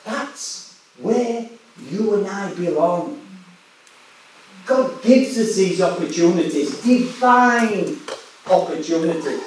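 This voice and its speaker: A man's voice: sits high, expressive, slow, long pauses, slight background noise. A man from West Yorkshire with a Yorkshire accent, an evangelist preaching a sermon in a chatty storytelling way, often restarting his words. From West Yorkshire, England. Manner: conversational, storytelling, many restarts and repetitions. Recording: echoey hall, voice from far off.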